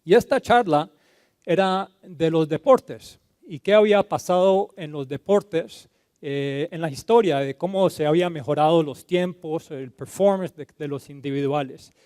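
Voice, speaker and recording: A man from Colombia giving a conference talk, halting and repeating words, slipping into terms now and then. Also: on the high side, dark tone, dry recording. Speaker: conference speaker. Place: conference hall stage.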